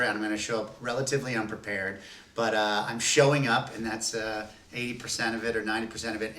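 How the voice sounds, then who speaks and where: Guy actor rambling about himself, bright voice, pretty far from the mic, echoey room. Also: fairly low pitch. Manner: rambling, self-deprecating, many fillers. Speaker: voice actor in the radio play. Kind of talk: self-deprecating behind-the-scenes chat. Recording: roomy echo, distant mic, faint noise.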